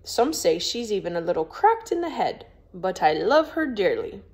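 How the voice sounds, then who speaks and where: A woman's voice: mid-range voice, sing-song, moderate pace, a little mellow. A woman voicing a proud, stiff young lady in a storybook, with a prim, formal, scolding manner. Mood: indignant.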